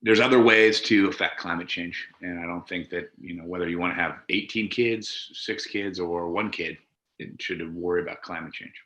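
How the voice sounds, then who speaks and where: A man's voice: low voice, steady tone, moderate pace, fairly bright tone. A man giving a casual, opinionated answer in an interview.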